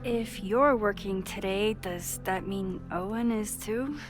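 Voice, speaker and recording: A woman's voice: medium pitch, takes it slowly, over music, close to an everyday voice. A woman playing an inquisitive young photographer and amateur sleuth in a video game, casual, dry and probing. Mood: calm, curious. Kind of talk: naturalistic video game acting.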